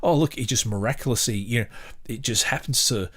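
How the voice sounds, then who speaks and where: A man hosting a podcast, giving casual, rambling commentary on a book in a halting, sardonic manner. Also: mid-range voice, a bit bright. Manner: halting, sardonic, mock-surprised at times. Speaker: podcast host.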